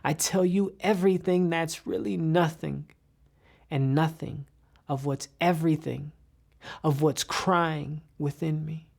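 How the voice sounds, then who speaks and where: Slow, low-voiced woman reciting poetry. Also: steady tone, gravelly, darkish tone. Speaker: poetry reader.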